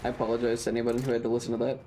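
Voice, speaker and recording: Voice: mid-range voice, monotone, very fast, dark tone. Playful streamer rambling over game music. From southern United States. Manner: playful, mock-serious rambling. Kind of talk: casual video game commentary.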